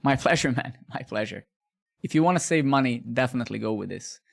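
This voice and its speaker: A man with a gravelly edge to his voice, talking his viewers through a coding session. He is chatty and relaxed, restarting his phrases as he thinks aloud and answers their questions. His pitch sits mid-range for a man. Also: fast. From Bosnia. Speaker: live coding streamer. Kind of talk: casual live-stream chat while coding.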